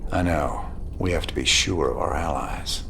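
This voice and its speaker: An actor playing a weary, wry rebel commander in a video game, dry and plain-spoken with an American accent. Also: male voice, a bass voice, normal speed, gravelly, noisy background. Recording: music under the voice.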